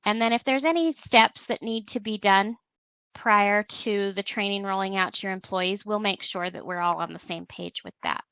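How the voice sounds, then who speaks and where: A woman's voice: mid-range voice, normal speed, clean tone, no echo. A woman giving an instructional webinar walkthrough, explaining things step by step as a trainer. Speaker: presenter, compliance software trainer. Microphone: telephone conference call. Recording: thin, narrow-band phone line.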